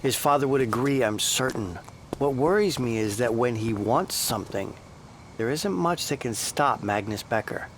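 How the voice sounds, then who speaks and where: A man's voice: mid-range voice, lively intonation, normal speed, slight background noise, lightly acted. A man playing a conscientious, guarded male researcher who sounds earnest and worried, in an audio drama.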